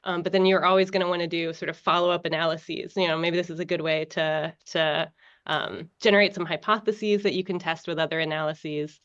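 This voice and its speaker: A woman's voice: on the low side, brisk, highs cut off. A woman from the United States with an American accent, a guest researcher explaining her work casually with lots of fillers and restarts over a video call. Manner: relaxed, many fillers and restarts.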